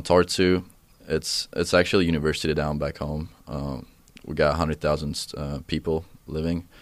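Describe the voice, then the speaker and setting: Very deep-voiced young man. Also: no room sound. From Estonia. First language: Estonian. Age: young adult. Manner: hesitant, many fillers and restarts. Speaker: college soccer player, interview guest. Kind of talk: casual interview answers.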